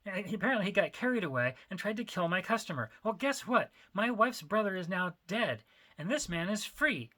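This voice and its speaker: A man's voice: high-pitched, very animated, brisk, sharp tone, pitched above the performer's own voice, exaggerated voice. A man voicing a panicky, nervous little art dealer and cheat in a dramatic story reading, blurting his lines frantically. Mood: defensive, nervous.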